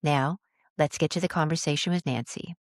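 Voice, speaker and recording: A woman's voice: low-pitched, fairly quick, no echo, clean studio sound. A woman of about sixty hosting an interview podcast, moving between a prepared introduction and conversation with a guest. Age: around 60.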